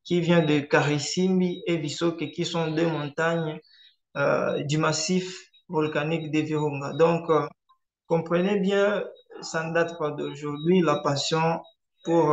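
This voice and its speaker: A man's voice: on the high side, slight background noise. Man with a raised voice, roomy echo. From eastern DR Congo. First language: Swahili. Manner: measured, frequent hesitation fillers. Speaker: conservationist presenter. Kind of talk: online presentation.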